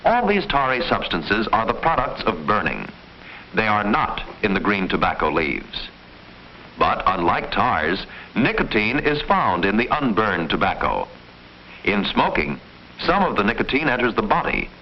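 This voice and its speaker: A man reading formal, measured, didactic scripted narration in the style of a mid-century educational film. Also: on the low side, sing-song. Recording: muffled, noisy old film soundtrack.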